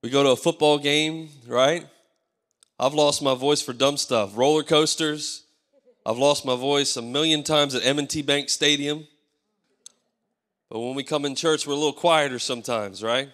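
A man in his thirties, a pastor whose voice is worn and hoarse, preaching a sermon into a handheld mic and calling for amens. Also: on the high side, fairly even intonation, moderate pace, fairly bright tone. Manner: preaching with call-and-response, asking the crowd for amens. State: losing his voice. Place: large church stage. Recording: live church hall reverb.

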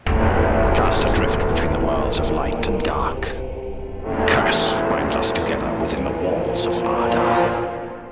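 An actor voicing an ancient elf wraith in a game, solemn and hushed, over loud music. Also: male voice, extremely low pitch, slow pace. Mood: grave. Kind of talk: video game cutscene. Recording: loud orchestral score behind.

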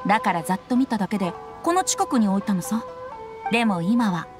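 A woman's voice: medium pitch, big pitch swings, a little fast, lightly acted. A woman voicing a playful, breezy young bard with airy, boyish, teasing speech in an anime game. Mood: thoughtful. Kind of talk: anime game cutscene acting. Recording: music behind the voice.

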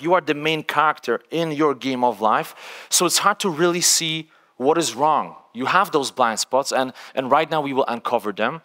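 Lively Polish-accented man on a big stage. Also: on the high side. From Poland. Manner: energetic, conversational, frequent "right?" tags. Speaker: speaker. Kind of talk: stage workshop talk. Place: large conference stage with audience. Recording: long hall reverb.

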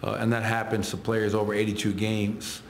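A man's voice: on the low side, completely flat delivery, normal speed, reverberant, slight background noise. A middle-aged man, a head coach, answering reporters at a post-game press conference, listing stats in short clipped phrases into table microphones. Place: arena press room.

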